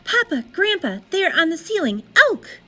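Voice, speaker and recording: Storybook role: eager, imaginative cave child, played by a woman, very high and animated, pleading and exclaiming, faint music behind. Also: moderate pace, heavily acted. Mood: eager, excited. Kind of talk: storybook character read aloud.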